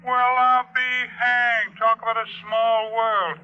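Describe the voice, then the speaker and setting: A man's voice: extremely high pitch, flat delivery, takes it slowly, pushed and bright, exaggerated voice. An actor playing a folksy, casual male acquaintance in a 1950s radio drama phone scene. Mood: apologetic. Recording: dull 1950s radio recording.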